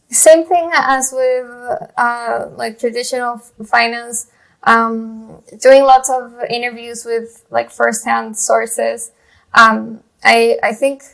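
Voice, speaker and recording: A woman's voice: a little high, creaky, fairly bright tone, poor audio. A woman in her thirties from Chile, a journalist guest telling her story in a rambling way, full of fillers and restarts. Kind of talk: casual interview talk.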